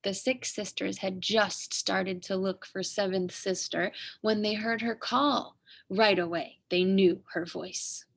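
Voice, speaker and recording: A woman reading a picture book aloud to children as an expressive, dramatic storyteller with emphatic pauses. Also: mid-range voice, vocal fry.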